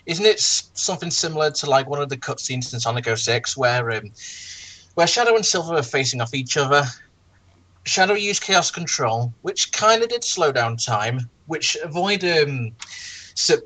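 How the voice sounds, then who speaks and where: A man chatting casually as a group commentator, halting and tentative in how he talks. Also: on the high side, animated, bright, forceful tone, rough recording. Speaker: commentator in a group dramatic reading. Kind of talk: casual chat.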